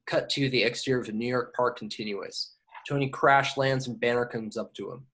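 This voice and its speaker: Medium-pitched man reading action narration. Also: brisk, fairly bright tone. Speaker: narrator reading stage directions. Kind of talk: scripted narration in amateur table read.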